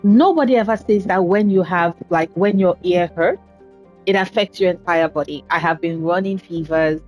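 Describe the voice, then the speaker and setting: A woman casually commentating her own game playthrough, chatty and self-deprecating, speaking low and soft because talking hurts right now. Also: normal speed, soft background music. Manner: chatty, self-deprecating, swears at setbacks in play. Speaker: gaming channel host. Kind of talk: casual let's-play commentary.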